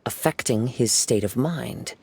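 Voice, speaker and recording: A man playing a young wandering swordsman in a video game, calm, formal and measured. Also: mid-range voice, vocal fry. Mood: thoughtful. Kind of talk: video game cutscene acting. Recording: faint music behind the voice.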